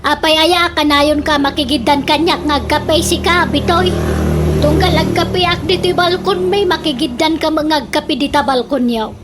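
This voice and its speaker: Woman acting a high-pitched scolding Ilocano neighbour lady. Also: steady tone, normal speed, heavy background noise, lightly acted. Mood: mocking, exasperated. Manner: scolding, exclaiming, teasing. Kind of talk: comedic radio drama dialogue.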